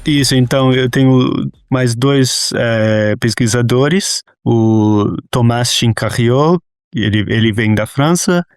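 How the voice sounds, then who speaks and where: A man's voice: medium pitch, slow pace, smooth, no room sound, clean studio sound, faint music behind. A man with an American accent speaking Portuguese as a guest researcher, answering interview questions hesitantly with many restarts and fillers. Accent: American English. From Brazil and the United States.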